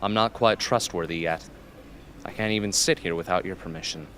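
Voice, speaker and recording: A man's voice: low-pitched, fairly quick, a bit bright, a little hiss or hum. A man playing a bitter, unstable young former captive in an amateur radio drama, sarcastic and needling.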